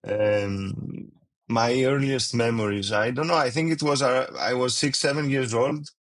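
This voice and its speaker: A man's voice: mid-range voice, a little slow, a bit bright. Hesitant Greek-accented man. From Greece. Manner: hesitant, many fillers and restarts, non-native phrasing. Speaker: winemaker guest. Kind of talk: casual interview.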